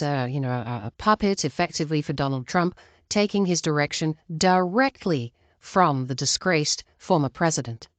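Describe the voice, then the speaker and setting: Low-voiced woman giving political commentary. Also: no room sound. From outside the United States. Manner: earnest, emphatic, partisan. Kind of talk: opinionated political commentary to viewers.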